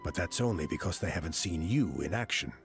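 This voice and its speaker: Formal earnest tribe official for a video game, kinda deep, confident, lively intonation. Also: male voice, fairly quick, faint music behind. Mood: assured.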